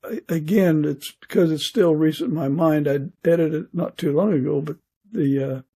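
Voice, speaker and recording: Husky older man with a creaky voice. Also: on the high side, normal speed, warm tone. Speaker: podcast interviewer. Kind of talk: casual interview chat.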